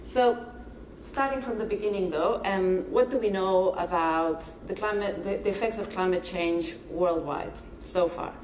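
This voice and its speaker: A woman from Barcelona with a Spanish accent giving a public science lecture, speaking slowly and carefully. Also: medium pitch, a little mellow, slight background noise. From Spain. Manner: deliberately slow, careful explanations. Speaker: marine ecology lecturer. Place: university lecture hall. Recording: echoey lecture hall, distant dull mic.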